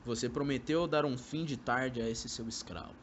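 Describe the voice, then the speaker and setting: A man's voice: medium pitch, normal speed, a little hiss or hum, lightly acted. A young man acting a sly, coaxing former lover in an amateur radio drama, speaking Brazilian Portuguese with poetic, theatrical delivery. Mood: teasing.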